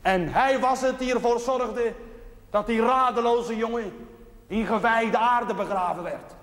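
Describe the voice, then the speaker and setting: An actor playing a plain-spoken, earnest village chaplain, preaching a dramatic sermon in short, deliberate phrases. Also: male voice, very high voice, fairly bright tone. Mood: fervent. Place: large reverberant interior. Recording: echoey church hall, noisy old TV audio.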